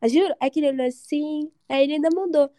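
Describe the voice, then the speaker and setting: A woman's voice: high-pitched, fast, dry recording, studio quality. A young woman from Rio de Janeiro with a Carioca accent, a podcast guest telling stories dramatically. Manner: animated, dramatic storytelling. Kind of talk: casual chat.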